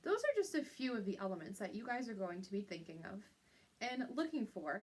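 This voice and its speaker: A woman's voice: medium pitch, big pitch swings, brisk, breathy edge. A woman teacher giving an instructional lesson to young pupils, explaining step by step and checking in with little tag questions.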